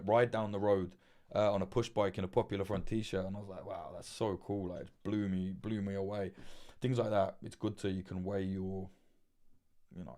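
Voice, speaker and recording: Man, livestream host, casual, swears a lot, rambling, restarts, desk mic, slightly low voice. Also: darkish tone. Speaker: livestream host giving journalism advice. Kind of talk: casual livestream chat answering superchats. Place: indoors at a laptop with webcam. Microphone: desk mic through a mixer.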